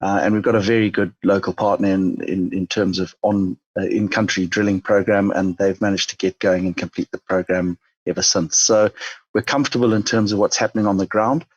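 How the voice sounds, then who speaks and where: Husky Zimbabwean man, measured business talk. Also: low-pitched, fairly even intonation, no echo, highs cut off. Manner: measured, many fillers and restarts. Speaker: mining company chief executive. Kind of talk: business interview.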